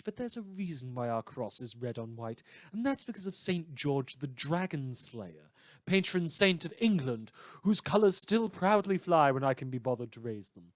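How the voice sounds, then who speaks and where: A man playing a wry, world-weary British radio newsreader in a scripted fictional broadcast, theatrical and droll with dramatic pauses. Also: a little high, no echo. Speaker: podcast performer playing a broadcast host.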